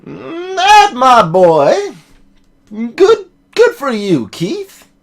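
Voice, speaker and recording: Eccentric elderly man for game dub, female performer: folksy, sentimental, rambling, very slow, wildly swinging intonation. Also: on the high side, wildly swooping pitch, voice pushed up, exaggerated voice. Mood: sentimental, proud. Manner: rambling, folksy, drawn-out delivery.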